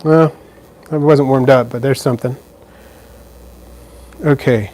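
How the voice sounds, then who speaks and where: A man's voice: mid-range voice, fairly even intonation, measured pace, a little mellow, slight background noise, poor audio. A man casually answering viewer questions on a live stream, chatty and easygoing, with plenty of fillers and restarts, filming indoors by a terrarium. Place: indoors beside a frog terrarium.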